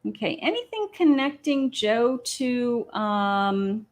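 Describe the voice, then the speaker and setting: A woman hosting a live psychic reading from her patio, talking slowly with many pauses and fillers as she thinks aloud. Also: on the high side, very animated. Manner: slow, hesitant, many ums, thinking aloud. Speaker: psychic medium host. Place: home patio.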